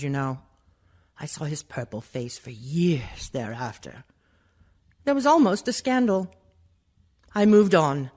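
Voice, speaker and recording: A woman playing a worldly, scheming rich widow in a theatrical radio monologue, with slow and dramatic phrasing. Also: mid-range voice. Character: worldly, insidious, much-married rich woman telling her life from the grave.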